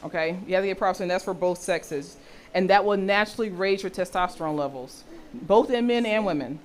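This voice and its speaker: A woman with a deep voice for a woman, teaching a health session and often checking in with her listeners. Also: fairly quick, poor audio. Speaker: health and intimacy educator.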